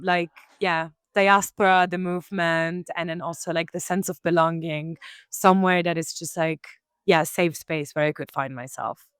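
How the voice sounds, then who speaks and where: A woman DJ, a panel guest, speaking in a measured, thoughtful way. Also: lowish voice, fairly bright tone, clear, resonant tone, dry recording. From Tunisia, grew up in Italy. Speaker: DJ and radio host, panel guest. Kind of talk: panel discussion.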